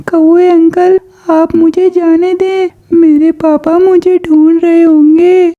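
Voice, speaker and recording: A woman's voice: very high-pitched, little pitch movement, slow, narrow, tinny audio. A woman playing a small, innocent, polite baby girl bird in a children's cartoon. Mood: frightened, pleading. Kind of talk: Hindi cartoon voice acting.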